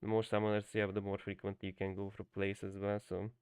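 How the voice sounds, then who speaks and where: A young man whose first language is Hungarian talks through a live stream, wandering and restarting with plenty of fillers. His voice has a gravelly, fry-edged texture. It is recorded dry and close with no room sound. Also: lowish voice, completely flat delivery, fast, a little mellow, no room sound. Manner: rambling, many fillers and restarts. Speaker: game coach streamer. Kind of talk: casual live-stream commentary.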